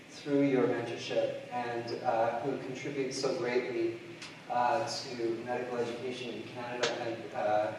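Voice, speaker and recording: A middle-aged man from Trinidad and Tobago giving a conference keynote, halting often with fillers and restarts. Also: medium pitch, darkish tone, a little hiss or hum. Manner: hesitant, many fillers and restarts. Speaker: keynote speaker. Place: large lecture hall. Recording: distant mic in an echoey hall.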